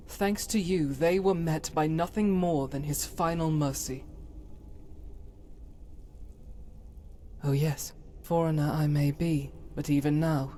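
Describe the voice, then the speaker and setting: A woman's voice: deep voice, dark tone, a little hiss or hum. Woman acting as a solemn, righteous holy warrior woman. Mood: solemn, disdainful. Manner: formal, archaic, earnest phrasing. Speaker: companion character in a game mod. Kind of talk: fantasy game character acting.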